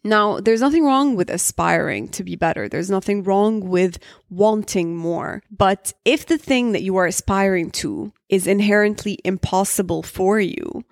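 A woman's voice: mid-range voice, moderate pace, creaky, few pauses, no room sound, clean studio sound. A young woman with an American English accent, hosting a solo podcast and speaking frankly, switching between Arabic and English mid-sentence. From Saudi Arabia. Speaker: podcast host.

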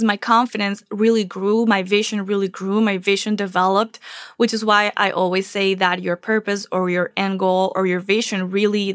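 A woman's voice: medium pitch, fairly bright tone, dry recording, studio quality. Earnest woman podcast host from Venezuela. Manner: earnest, reflective storytelling. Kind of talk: casual solo podcast talk.

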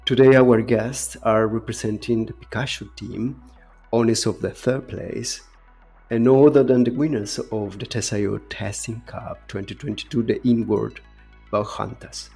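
A man hosting a podcast interview with a gravelly, husky voice. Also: fairly low pitch, fairly even intonation, moderate pace, mellow, soft background music. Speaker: podcast host.

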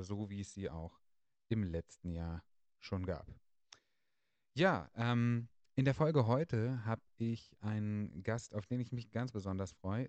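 Low dark-voiced guy hosting a podcast, dry dull sound, lots of umms. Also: dry recording. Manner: relaxed, with many fillers. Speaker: podcast host. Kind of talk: casual podcast chat.

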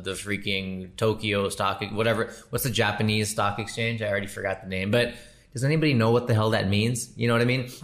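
A man who hosts a podcast and does comedy, giving casual, slangy advice to a listener and laughing at his own jokes. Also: lowish voice. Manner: chatty and slangy, calls listener bro and dude.

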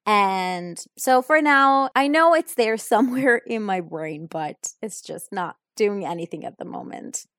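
A young woman speaks English slowly and deliberately, taking her time over each answer. She sounds thoughtful and a little hesitant, filling gaps with soft ums. Her voice is close and dry, with no room sound around it. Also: mid-range voice, animated, dry recording. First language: Italian. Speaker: English learner answering questions. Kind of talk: unscripted answers in an English lesson.

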